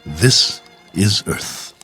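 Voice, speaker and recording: An actor playing a stranded space traveler, weary but hopeful, halting and musing in a radio drama. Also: male voice, bright, forceful tone, faint music behind. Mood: gentle, hopeful. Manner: halting, musing, talks to himself.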